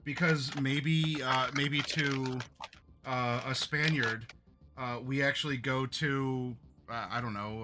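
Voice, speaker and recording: A man hosting a tabletop roleplaying stream, chatting with his players. His voice is bright and forward. He talks loosely, trips over his own words and laughs easily. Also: medium pitch. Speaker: game master and show host. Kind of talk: casual pre-game chat on a stream.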